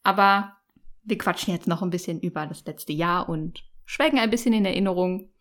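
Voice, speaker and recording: A woman's voice: on the low side, moderate pace, fairly bright tone. A woman hosting a book podcast in casual chat, playful and joking. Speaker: podcast host.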